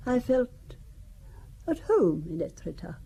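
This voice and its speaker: A woman's voice: on the high side, big pitch swings, measured pace, vocal fry, warm tone, a little hiss or hum, full character voice. An actress playing a wealthy older widow who talks about her family in a wry, confiding, gossipy way, in a radio drama. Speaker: Valentine Besson.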